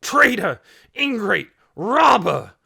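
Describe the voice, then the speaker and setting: A man's voice: mid-range voice, big pitch swings, unhurried, vocal fry, breathy edge, full character voice. Man putting on a blustering, hot-tempered trader. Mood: enraged. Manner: blustering, shouting accusations. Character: scowling hot-tempered bullying male roamer trader, a father. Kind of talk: audiobook character acting.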